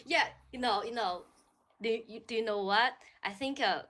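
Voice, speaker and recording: Bright-voiced woman with a Chinese accent. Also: a little high, moderate pace. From China. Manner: hesitant, agreeable, many fillers. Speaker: guest, English learner. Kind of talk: casual conversation.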